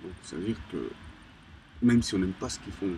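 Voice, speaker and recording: A young man from Colombia, an interviewee, talking casually in halting phrases full of restarts and fillers. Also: low-pitched, animated, a little mellow. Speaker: graffiti artist, interviewee. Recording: distant mic with background noise.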